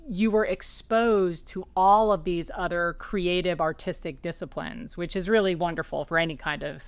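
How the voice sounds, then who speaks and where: A woman's voice: on the low side, fairly even intonation, moderate pace, smooth, dry recording. A woman author being interviewed at home, chatty and anecdotal, restarting sentences as she talks. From New York area, raised as an Army child. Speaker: author guest. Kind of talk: conversational interview. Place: her home.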